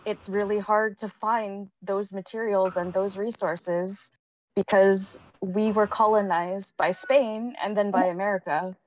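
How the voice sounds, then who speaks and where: A woman's voice: mid-range voice, fairly even intonation, unhurried, a little mellow. A woman from Canada speaking on a casual panel discussion, hesitant, with many ums and restarts. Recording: dull lo-fi sound.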